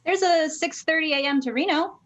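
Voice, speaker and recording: A woman's voice: high-pitched, brisk, fairly bright tone. A woman playing a young traveler in a casual play-reading scene. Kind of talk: dramatized play script reading. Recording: dull lo-fi livestream audio.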